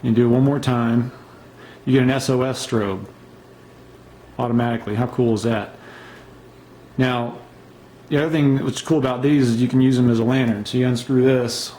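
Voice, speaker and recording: A man's voice: medium pitch, steady tone, normal speed, pauses often, mellow, slight background noise, rough recording. A man casually showing and reviewing his emergency gear, unscripted, with many fillers and restarts. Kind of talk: casual show-and-tell review.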